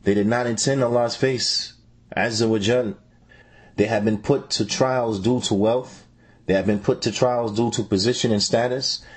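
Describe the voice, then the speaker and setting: A man reading out a translated religious lecture in a measured way as a translator. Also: medium pitch, slightly rough. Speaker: translator reading an Islamic scholar's answers.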